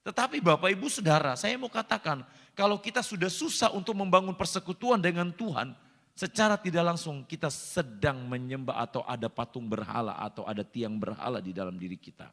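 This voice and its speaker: Pastor, male, high gravelly voice, emphatic and repetitive sermon delivery, long hall reverb. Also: lively intonation, brisk, a bit bright. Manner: repeats phrases, addresses congregation directly, emphatic rises. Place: church hall.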